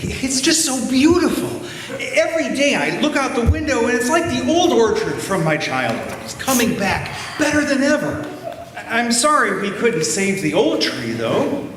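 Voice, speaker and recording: A man's voice: very high-pitched, very animated, moderate pace, crowd noise. A man playing a sentimental, grateful older villager in an amateur readers theater. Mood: wistful, moved. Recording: very echoey hall, faint noise.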